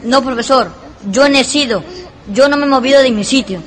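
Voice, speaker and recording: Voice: sits high, a little fast, fairly bright tone. An actor playing a spoiled, boastful rich schoolboy in a radio drama, bratty and shameless. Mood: falsely innocent. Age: child figure. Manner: bratty, bragging. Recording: long hall reverb.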